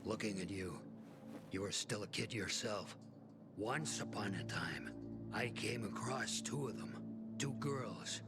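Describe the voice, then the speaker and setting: Game role: jaded middle-aged survivor, resigned, bitter, very slow with heavy pauses. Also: male voice, lowish voice. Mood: grim, brooding. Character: world-weary, resigned male survivor in a plague-walled city. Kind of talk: game cutscene acting. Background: music.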